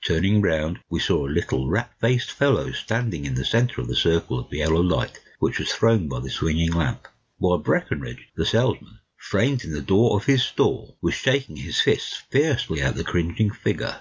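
Deep, husky male story narrator. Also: sing-song, moderate pace, highs cut off. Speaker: audiobook narrator.

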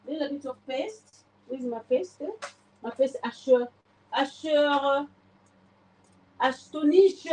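A woman's voice: a little high, darkish tone, slight background noise, rough recording. A woman in her forties chatting casually on a livestream while she cleans her oven, greeting viewers by name. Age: 40s. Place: home kitchen, indoors. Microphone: phone camera pointed at the oven.

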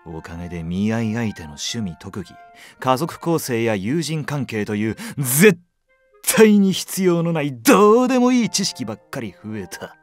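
A man's voice: mid-range voice, sing-song, normal speed, faint music behind, close to an everyday voice. A man playing a brash, sardonic young emperor in an audio drama, with a blunt, casual, teasing manner. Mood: dry, sardonic. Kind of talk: anime-style audio drama acting.

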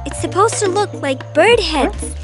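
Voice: very high-pitched, animated, unhurried. A performer voicing a bold, earnest, knowledgeable little girl in a children's cartoon, emphatic as she explains. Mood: earnest, explaining. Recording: loud background music.

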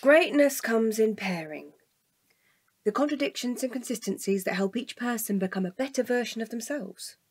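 Dry, deadpan woman, slightly husky. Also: a little high, normal speed. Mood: matter-of-fact. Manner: deadpan, matter-of-fact. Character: dry, exasperated, level-headed woman, the sensible partner. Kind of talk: comic audio drama dialogue.

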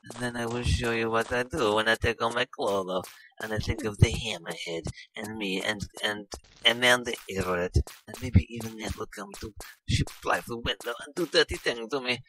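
A man's voice: mid-range voice, moderate pace, a bit bright, slight background noise, natural voice, low-quality recording. A man voicing a lewd, brazen woman adventurer in broken English, improvising over gameplay. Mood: seductive. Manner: breathy, leering, broken English.

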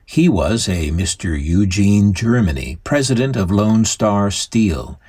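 Husky, animated male documentary host. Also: lowish voice, slow. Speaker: host and co-narrator. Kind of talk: scripted conversational documentary narration.

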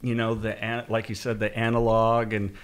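A man's voice: on the low side, completely flat delivery, moderate pace, smooth. A man hosting a podcast at a desk mic, chatting casually and enthusiastically, swearing now and then. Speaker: podcast host. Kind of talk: casual interview chat.

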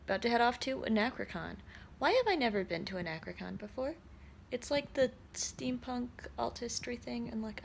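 A young woman with a creaky voice, chatting casually and candidly to camera in a rambling vlog, recorded at arm's length in a small room. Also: on the high side, slight background noise. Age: young adult. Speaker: vlogger. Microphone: phone or camera at arm's length.

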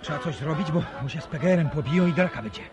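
A hot-headed young village man, acted by a man in a radio play. His high voice jumps about in pitch, with music clearly behind him. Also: lively intonation, fast, dull audio, lightly acted. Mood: agitated. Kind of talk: Polish radio drama acting.

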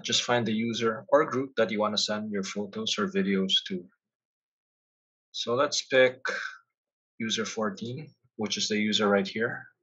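Male demonstrator for app tutorial, step-by-step delivery, husky tone, dull lo-fi audio. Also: fairly low pitch, fairly bright tone. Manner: instructional, step-by-step. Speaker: product demonstrator. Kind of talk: software tutorial narration.

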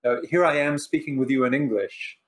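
An older man whose first language is Polish, a professor and author answering interview questions on a video call from his living room, measured and reflective with many pauses. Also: mid-range voice. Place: living room in front of bookshelves. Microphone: video call webcam.

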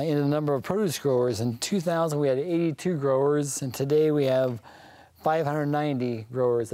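A man's voice: mid-range voice, dark tone, no room sound. A middle-aged American man explaining things as an expert guest in a studio panel interview. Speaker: agriculture department guest. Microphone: lapel mic.

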